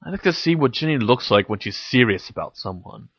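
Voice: medium pitch, lively intonation, a little fast, breathy edge, no echo, lo-fi sound. A reader voicing a defensive teenage boy wizard in an audio story, grumbling and petulant.

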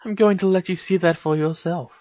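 A man voicing a clever, knowing teenage witch in a story reading, explaining carefully with gentle chiding. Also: high-pitched, very animated, normal speed, dry recording, muffled recording, voice pushed up.

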